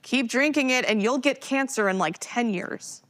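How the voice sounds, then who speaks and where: A woman's voice: fairly high pitch, normal speed, a bit bright, close to an everyday voice. A woman playing a quirky young geek companion in a live sci-fi comedy, casual, wry and playfully theatrical. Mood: matter-of-fact. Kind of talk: live staged science-fiction audio comedy.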